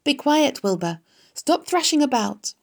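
A woman acts out a dying female spider in a storybook, composed and gently scolding. Her voice has a creaky edge and stays matter-of-fact. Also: high voice, big pitch swings, a little slow, voice pushed up, exaggerated voice. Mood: gently firm.

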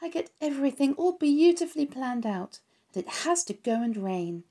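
A sad little pig, lonely and earnest, pitched high above the storyteller's own voice and pleading hard as he speaks, as a woman puts him on. His whine carries a gravelly edge of fry underneath. This is a storybook read aloud. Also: very animated, moderate pace, mellow. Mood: glum. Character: young lonely male pig, earnest and plaintive.

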